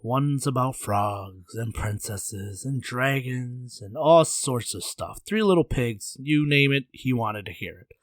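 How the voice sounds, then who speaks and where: A man's voice: mid-range voice, slow, few pauses, no echo, studio quality. A man telling a folktale as a storyteller in a casual, conversational way, with playful slang.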